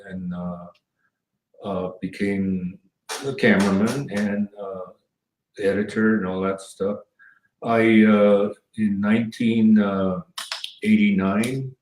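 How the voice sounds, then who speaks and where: A man from Southern California telling stories as an interview guest, rambling with many pauses and restarts. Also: lowish voice, a little mellow, distant mic, slight background noise. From Southern California, near the Mexican border. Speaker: guest, former TV cameraman. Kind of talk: casual storytelling interview. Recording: echoey room, voice from off mic.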